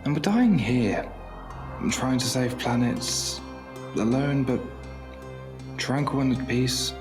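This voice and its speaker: A man's voice: medium pitch, slow, music in the background, natural voice. A man playing a brooding, wry alien time traveller in a fan audio drama, musing aloud to himself. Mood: pensive, resigned.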